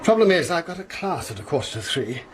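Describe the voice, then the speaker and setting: An actor playing a chatty, hesitant schoolmaster in a radio drama. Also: male voice, fairly high pitch, big pitch swings, fast. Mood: eager. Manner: chatty, hesitant, laughing nervously. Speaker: second master at a school. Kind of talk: BBC radio drama acting. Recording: noisy radio drama recording.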